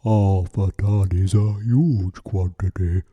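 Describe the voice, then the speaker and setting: Brief: a man doing a storybook bear, greedy and nosy, dark and low, dry lo-fi sound. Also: dry recording, close to an everyday voice. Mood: eager. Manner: slow, deep, polite then eager. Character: big greedy curious male bear. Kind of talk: storybook read-aloud.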